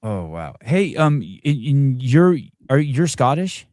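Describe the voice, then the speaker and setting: A man's voice: mid-range voice, sing-song, measured pace, mellow, dry recording, studio quality. A middle-aged man hosting a live interview, rambling and self-deprecating with many restarts. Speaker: podcast host.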